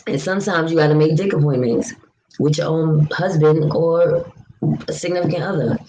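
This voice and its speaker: A young woman from New York with a low, deep voice talks frankly and at length to her livestream viewers. She answers their comments in an easy, chatty way. A faint noise sits under the recording. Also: fairly even intonation, moderate pace, mellow, rough recording. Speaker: livestream vlogger. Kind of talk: casual live chat, answering viewer comments.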